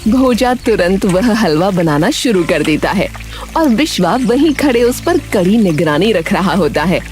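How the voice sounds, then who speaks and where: A woman narrates an animated tale from a script. The voice sits at a medium pitch and moves expressively, with soft music underneath. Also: unhurried. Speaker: story narrator.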